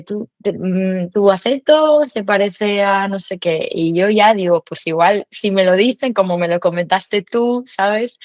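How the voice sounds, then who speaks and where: A young adult woman speaks a language she learned later in life, chatting loosely as an interview guest. She pauses often with filler sounds. Her voice sits mid-range, recorded close and dry. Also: medium pitch, dry recording. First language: not Spanish. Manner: chatty, hesitant, many fillers. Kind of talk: casual conversational interview.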